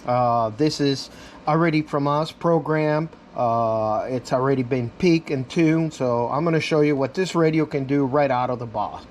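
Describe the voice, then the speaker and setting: A man's voice: mid-range voice, moderate pace, a little hiss or hum. A man giving a casual, unscripted product demo in an informal way, talking straight to viewers as their reviewer. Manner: informal, addresses viewers as guys. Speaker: radio reviewer and demonstrator.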